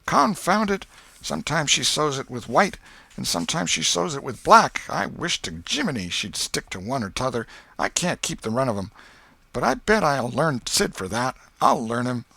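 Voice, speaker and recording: A man's voice: medium pitch, sing-song, normal speed, vocal fry, pushed and bright, dry recording, pitched above the performer's own voice, full character voice. A man voicing a cheeky, mischievous young boy in an audiobook. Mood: indignant. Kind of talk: audiobook character voice.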